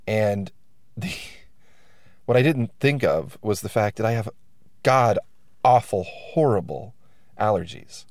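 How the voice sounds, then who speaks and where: A man's voice: lowish voice, lively intonation, takes it slowly, long pauses, darkish tone, no echo. A man hosting his own podcast, sounding stuffed up from allergies, talking in a wry, self-deprecating ramble full of restarts. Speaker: podcast host. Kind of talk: casual rambling monologue.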